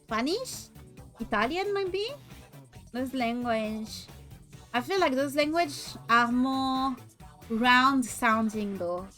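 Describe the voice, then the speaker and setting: High, unhurried giggly French-accented woman. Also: lively intonation, sharp tone, soft background music. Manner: giggly, laughs often, stumbles and repeats words. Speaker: VTuber streamer. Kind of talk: casual chat stream.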